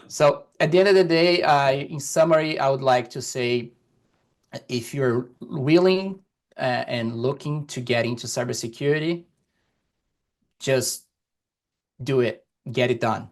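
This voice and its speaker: A man from Brazil, a native Portuguese speaker with a Brazilian accent, speaking as a podcast guest over a video call in a deliberate, encouraging way with many fillers. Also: mid-range voice. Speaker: guest, security executive. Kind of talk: podcast interview. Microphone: remote video call.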